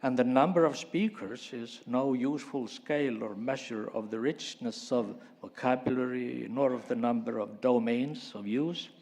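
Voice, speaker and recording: A man giving a formal, measured conference talk and pausing often. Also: medium pitch, a little mellow. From Iceland. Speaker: conference speaker.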